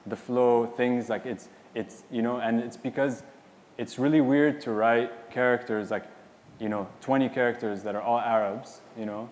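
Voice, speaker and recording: A man's voice: mid-range voice, a little mellow. A man on a symposium panel giving a loose, rambling answer full of "you know" and "like". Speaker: panelist and publisher. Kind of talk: casual spoken answer on a panel. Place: hall at a symposium. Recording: long reverb in a hall, faint noise.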